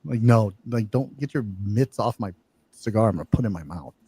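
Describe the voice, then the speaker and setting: A man's voice: fairly low pitch, brisk, husky, no echo. A man in his forties hosting a podcast, interviewing a guest in a joking, teasing way. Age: 40s. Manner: joking, teasing, animated delivery with laughs. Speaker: podcast host. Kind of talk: casual chat, interviewing a guest.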